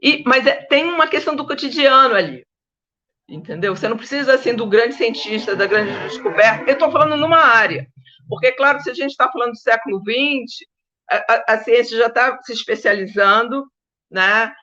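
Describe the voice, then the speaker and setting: This is a Brazilian woman giving an informal academic talk on a panel. Her voice is bright and forward, though the recording dulls it a little. She is conversational and emphatic, wandering into asides and checking that listeners are still with her. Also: fairly high pitch, moderate pace, lo-fi sound. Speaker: historian of science, panel guest.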